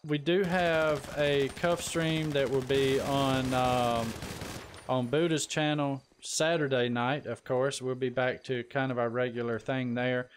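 A man's voice: medium pitch, steady tone, measured pace, a little hiss or hum. A man chatting casually and folksily as he hosts a live gaming stream, saying y'all. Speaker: livestream host. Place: voice chat during an online game stream.

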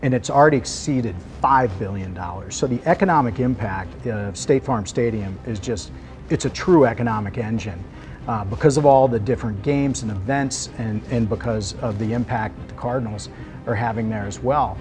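A man's voice: mid-range voice, moderate pace, darkish tone. A middle-aged man speaking in a documentary interview about his sports organization, like an executive. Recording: music bed under speech.

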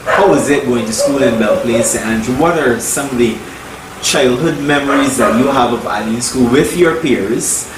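A man's voice: mid-range voice, moderate pace. A man interviewing a guest for a documentary, prompting her and repeating her answers back. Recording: distant roomy mic with noise.

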